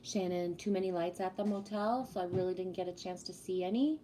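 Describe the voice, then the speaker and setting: A woman's voice: fairly low pitch, brisk, mellow, slight background noise, rough recording. A woman in her fifties hosting a livestream, chatting casually and greeting viewers while talking about spiritual topics. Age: 50s. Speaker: livestream host.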